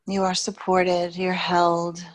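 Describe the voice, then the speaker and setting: Slow, low-voiced woman guiding meditation. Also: completely flat delivery. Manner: soothing, unhurried, with long pauses between phrases. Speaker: yoga teacher. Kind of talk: guided yoga nidra meditation.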